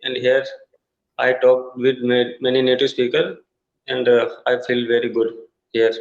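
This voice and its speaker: Halting Indian man on an echoey call. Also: mid-range voice, level tone, vocal fry. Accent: Indian English. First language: Hindi. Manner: halting, searching for words with many uh fillers. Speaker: English learner. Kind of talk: online tutoring conversation. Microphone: video call. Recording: echoey, dull video-call audio.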